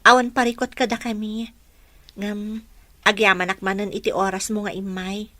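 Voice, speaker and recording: A woman's voice: mid-range voice, level tone, moderate pace, sharp tone, dry recording, natural voice. An actress with a Filipino accent playing an earnest, devoted young single mother in a radio drama. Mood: grateful.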